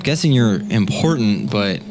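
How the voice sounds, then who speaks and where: A man plays his part in a tabletop audio drama with casual, improvised delivery. His voice is on the low side, with music clearly behind him. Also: animated, measured pace. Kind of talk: casual improvised role-play.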